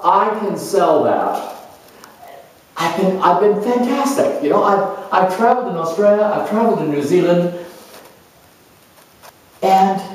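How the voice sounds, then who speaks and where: Exuberant free-spirited male adventurer, acted by a man, brash, rambling, unapologetic, high voice, distant echoey recording. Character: exuberant, reckless, boastful free-spirited adventurer who never grows up. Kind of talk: solo dramatized monologue. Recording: echoey room, mic at a distance.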